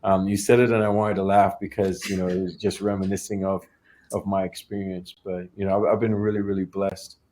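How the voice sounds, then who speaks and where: A man's voice: low-pitched, flat delivery, brisk, darkish tone. A young adult man from Trinidad and Tobago, a startup founder talking casually as an interview guest, often repeating and restarting his words.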